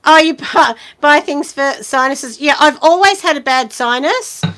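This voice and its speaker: A woman in her forties with a husky voice, hosting a casual live chat and giving friendly business advice, with lots of ums and you-knows. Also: high voice, expressive, unhurried, pushed and bright. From Australia. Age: 40s. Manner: chatty and encouraging, many ums and you-knows.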